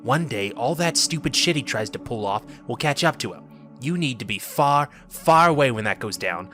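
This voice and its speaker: A man's voice: mid-range voice, lively intonation, normal speed, a bit bright, soft background music, natural voice. A man playing a cynical, scarred army medic in an audio drama, irritable, sardonic and scolding. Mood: grim warning.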